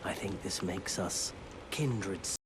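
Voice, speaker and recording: Low-voiced, weary wandering merchant. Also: male voice, slow pace, noisy background. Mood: mournful, resigned. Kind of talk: video game character dialogue. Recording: loud music behind the voice.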